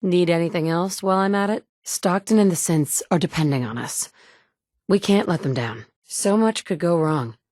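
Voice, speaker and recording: A woman's voice: lowish voice, moderate pace, darkish tone, no echo, natural voice. A woman voicing a blunt, sardonic wasteland survivor. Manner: dry and blunt, with sarcastic asides. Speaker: player character. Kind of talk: video game voice acting. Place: studio booth. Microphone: close studio mic.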